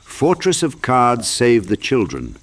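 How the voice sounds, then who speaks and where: A man voicing a confused, forgetful, half-mad knight in an audiobook, halting and earnest. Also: medium pitch, huge pitch swings, voice pushed up, full character voice. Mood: muddled gratitude.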